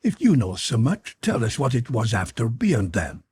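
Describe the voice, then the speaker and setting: A man's voice: medium pitch, big pitch swings, normal speed, a little mellow, husky, no room sound. A man voicing a blustering, superstitious, hot-tempered crewman in an audiobook, exclaiming and growling. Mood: irritable, demanding. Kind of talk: audiobook character voice.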